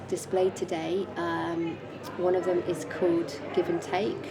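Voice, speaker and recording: A woman artist explaining her work informally in a measured, hesitant manner. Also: fairly low pitch. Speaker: artist presenting own work. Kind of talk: informal exhibition talk about own artwork. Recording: clear background noise and room ambience.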